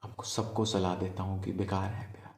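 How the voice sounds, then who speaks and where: A man performing a poetic love monologue as a storyteller, in a wistful, deliberate manner with pauses. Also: deep voice, level tone, vocal fry, a little mellow, echoey room. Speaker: spoken-word storyteller. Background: music.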